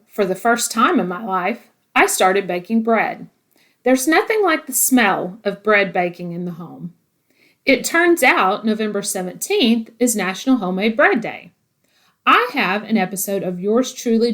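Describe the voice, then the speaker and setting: A woman host delivers a scripted intro. Her pitch is middling but moves in lively swings.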